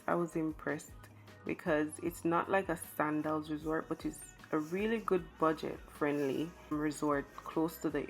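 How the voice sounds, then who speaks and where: Woman, 30s, casual vlog, stuffy from flu, lowish voice, vocal fry. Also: darkish tone. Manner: chatty, laughs at herself. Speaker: vlogger.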